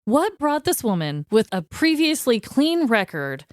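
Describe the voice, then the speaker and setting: A woman's voice: on the high side, big pitch swings, measured pace, slight background noise. An American woman co-hosting a podcast in casual, humorous chat, talking in a chatty, informal, giggly way. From United States. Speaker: podcast co-host. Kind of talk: casual comedy chat about true crime.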